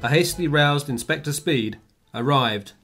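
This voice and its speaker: A man's voice: on the high side, normal speed, fairly bright tone. A man narrating a scripted radio drama. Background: music.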